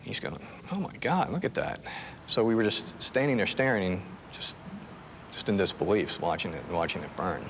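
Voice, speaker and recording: Halting man, slightly low voice. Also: sing-song, a little hiss or hum, low-quality recording. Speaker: air traffic controller, interviewee. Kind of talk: halting personal recollection.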